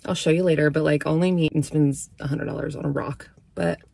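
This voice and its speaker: A woman's voice: low-pitched, fairly even intonation, a little fast, creaky, mellow, husky. Playful, crude-joking woman vlogger. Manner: playful, jokey and crude, laughs often. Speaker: travel vlogger. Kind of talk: casual vlog chat to camera.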